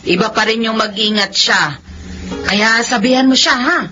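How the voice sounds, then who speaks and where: A woman's voice: on the high side, normal speed, lightly acted. A woman with a Filipino accent playing a cautious, protective middle-aged mother, insistent and cautioning, in a radio drama. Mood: worried. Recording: muffled radio drama audio with music.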